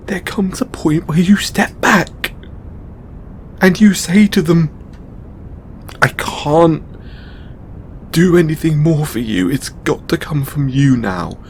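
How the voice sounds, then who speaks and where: Casting: male actor for a benevolent, overburdened god in audio roleplay; slow, halting, emotional delivery, some rumble in the recording. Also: darkish tone. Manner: halting, emotional, earnest pleading. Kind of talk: intimate dramatic audio roleplay monologue.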